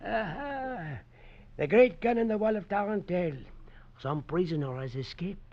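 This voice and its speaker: An actor playing a kindly, mysterious old male knife maker who speaks gently and paternally, in a 1940s radio drama. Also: high-pitched, lively intonation, unhurried. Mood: calm, knowing. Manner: gentle, paternal, calls him my son. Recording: dull old radio recording.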